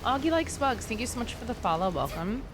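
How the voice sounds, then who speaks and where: Young female streamer. Casual gameplay chatter, teasing asides to viewers, animated intonation. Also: fairly high pitch, fast talker, background noise. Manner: bantering, teasing, talks to chat. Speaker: game streamer. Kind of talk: casual livestream chat and gameplay commentary.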